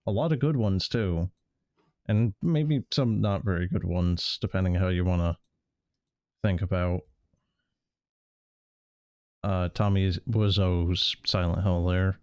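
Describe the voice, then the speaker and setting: A man's voice: sits low, a little mellow, dry recording, studio quality. A man doing casual game stream commentary in a rambling, sardonic, sweary way. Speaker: livestreamer.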